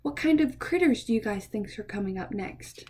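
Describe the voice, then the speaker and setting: A woman reading a picture book aloud to children in an expressive way, pausing to ask her listeners questions. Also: mid-range voice. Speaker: read-aloud storyteller for children.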